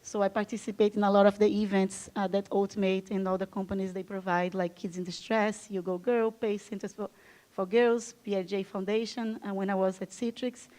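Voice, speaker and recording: A woman's voice: medium pitch, little pitch movement, brisk, darkish tone, low-quality recording. A woman from Brazil whose first language is Portuguese, giving an enthusiastic, chatty conference talk to a live audience, with frequent fillers. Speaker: guest speaker. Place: event room with live audience.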